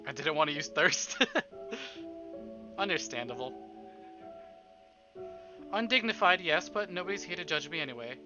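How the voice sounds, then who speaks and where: A man's voice: a little high, lively intonation, unhurried, long pauses, muffled recording. A man live streaming, reading a story aloud and adding playful, exclamatory asides to his chat. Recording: music behind the voice.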